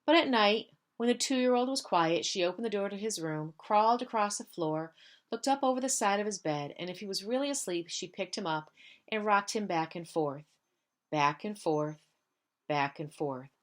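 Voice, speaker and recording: A woman's voice: medium pitch, lively intonation, moderate pace. Woman reading a children's storybook aloud. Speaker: school staff member reading stories to pupils.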